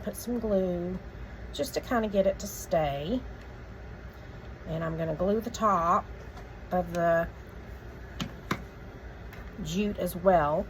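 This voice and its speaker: Slightly croaky woman teaching a craft. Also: lowish voice, background noise. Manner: relaxed, chatty instructions with fillers. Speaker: craft tutorial host. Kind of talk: step-by-step craft demonstration.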